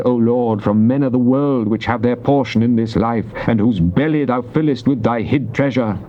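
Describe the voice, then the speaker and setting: An actor playing a devout, earnest psalmist, reciting scripture in a solemn, measured, declamatory theatrical style. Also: male voice, mid-range voice, a little mellow, lightly acted. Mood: pleading. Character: devout psalmist, earnest man praying to God. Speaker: dramatized scripture reader. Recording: dull noisy cassette tape transfer.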